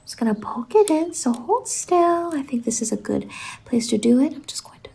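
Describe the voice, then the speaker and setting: A woman playing a whimsical, reassuring salon stylist in an ASMR roleplay, speaking softly and gently on a close microphone and often slipping into whispers. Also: sits high, animated, gravelly, slight background noise. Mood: soft, encouraging. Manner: gentle, reassuring, drawn-out words. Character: whimsical, reassuring female fever-dream hair salon stylist.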